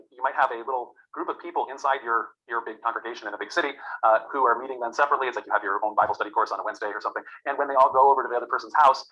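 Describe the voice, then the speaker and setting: Fast-talking male lecturer, bright and lo-fi. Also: medium pitch. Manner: rambling, many fillers and restarts. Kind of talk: classroom lecture.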